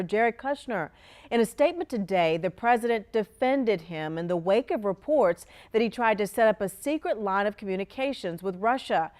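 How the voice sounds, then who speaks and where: A woman with an American accent reading scripted television news as an anchor. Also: medium pitch.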